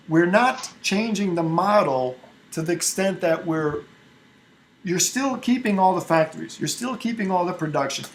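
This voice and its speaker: Man from Albania with a high voice, forceful and argumentative in a group meeting, restarts his sentences a lot. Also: noisy background. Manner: emphatic, argumentative, with frequent restarts. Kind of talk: casual group discussion.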